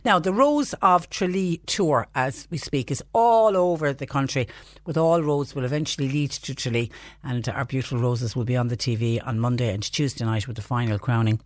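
A woman presents local radio in a Cork Irish accent, reading notices and messages to listeners. The sound is dry and close, and her pitch sits mid to low for speech. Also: moderate pace, dry recording. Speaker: radio host.

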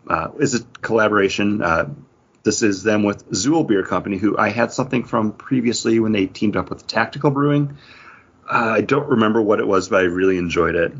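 Husky, low-voiced man chatting. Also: lo-fi sound. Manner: enthusiastic. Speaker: podcast host. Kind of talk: casual chat.

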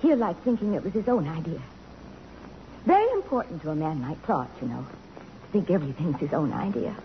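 A woman's voice: low voice, big pitch swings, fairly quick. An actress playing a shrewd, genial married woman in 1950s radio theater style. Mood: knowing, breezy. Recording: muffled, noisy old radio broadcast.

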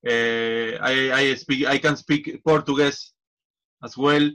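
A man, a football coach, talking in a casual interview in halting non-native English with many restarts. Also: medium pitch, fairly even intonation, a bit bright.

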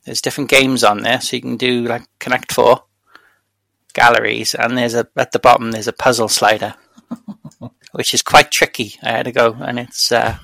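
A Welsh man co-hosting a podcast in casual chat, self-deprecating and quick to laugh. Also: mid-range voice, flat delivery, vocal fry, bright, forceful tone, dry recording. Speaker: podcast co-host.

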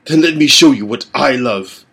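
A man's voice: medium pitch, moderate pace, gravelly, fairly bright tone, slightly rough, voice pushed up. A man voicing a brutal, sneering torturer father who growls his taunts. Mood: cruel.

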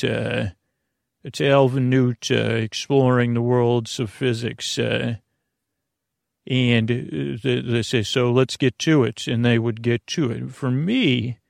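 Creaky-voiced man rambling gently through a monologue. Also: medium pitch, dry recording, clean studio sound. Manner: halting, digressive, trails off and circles back. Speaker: podcast host. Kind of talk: rambling, meandering bedtime monologue.

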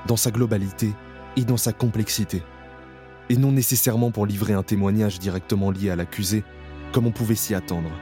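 A middle-aged man giving measured, solemn scripted documentary narration. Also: on the low side, fairly even intonation, mellow, soft background music.

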